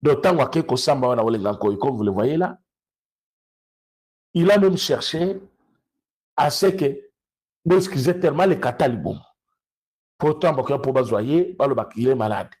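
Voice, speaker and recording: A man with a Congolese accent and a husky voice, giving emphatic, unscripted political commentary and repeating phrases for stress. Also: mid-range voice, expressive, a little slow, pauses often, darkish tone. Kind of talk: unscripted political commentary, switching between two languages.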